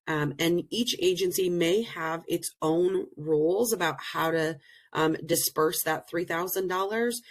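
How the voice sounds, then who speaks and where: A woman presenting in an online learning session over a video call, slightly hoarse from being sick, explaining things earnestly with many pauses. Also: sits low. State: hoarse, recovering from illness. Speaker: presenter and program director. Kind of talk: webinar presentation.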